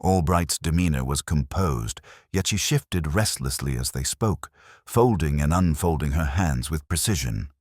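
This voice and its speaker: Very deep male mystery narrator. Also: animated, unhurried, a little mellow, dry recording, clean studio sound. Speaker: audiobook narrator. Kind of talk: scripted mystery story narration.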